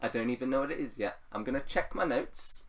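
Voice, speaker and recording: A man's voice: medium pitch, animated, fast talker, far from the mic. A man giving casual, unscripted writing advice as a video host, rambling playfully and repeating phrases for emphasis. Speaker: YouTube writing coach and host.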